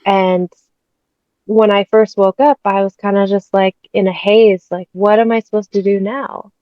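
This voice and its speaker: A woman's voice: mid-range voice, little pitch movement, moderate pace, no room sound. A woman in her thirties from the States, a guest telling her personal story candidly and chattily, laughing often. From United States. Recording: dull, dry sound.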